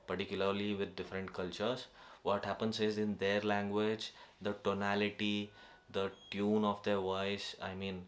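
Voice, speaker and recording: A young man with an Indian accent, a native Kannada speaker, talking casually to camera in a vlog, hesitant and full of fillers and restarts. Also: fairly low pitch. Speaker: vlogger. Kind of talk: casual self-improvement vlog.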